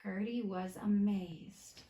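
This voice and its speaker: A woman reading a picture book aloud to children, expressively and with deliberate emphasis. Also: medium pitch, steady tone, dark tone, a little hiss or hum. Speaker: children's read-aloud teacher. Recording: roomy, echoey indoor sound.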